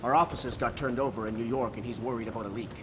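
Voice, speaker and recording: A man acting as a commanding game villain's lieutenant, with a mid-pitched voice and a curt, no-nonsense manner. He speaks like someone used to giving orders. There is clear ambient noise behind him. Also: steady tone, fast, close to an everyday voice. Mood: tense, serious. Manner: brusque, commanding. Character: brusque male crew leader of criminals giving orders.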